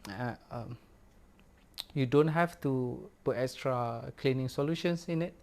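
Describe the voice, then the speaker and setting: Slow, hesitant man reviewing gear. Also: mid-range voice, vocal fry, a little mellow. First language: Malay. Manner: unhurried, hesitant, many fillers and restarts. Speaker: record store owner reviewing gear. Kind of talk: casual product review.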